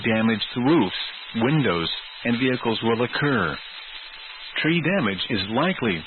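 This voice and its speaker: Male weather radio announcer, heavy static. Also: mid-range voice. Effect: weather radio broadcast. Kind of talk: scripted emergency weather bulletin. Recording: noisy, narrow phone-like radio signal.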